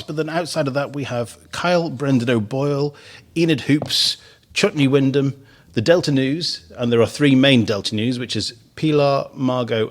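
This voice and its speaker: Man, 50s, radio host, casual studio chat, easy laughs, frequent restarts, mid-pitched voice.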